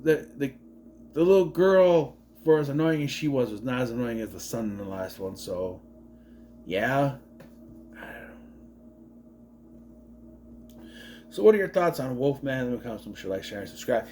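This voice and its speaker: A man's voice: mid-range voice, normal speed, long pauses, warm tone, a little hiss or hum. A man in his late thirties giving a casual movie review, rambling, swearing freely and wandering off on tangents. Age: 30s.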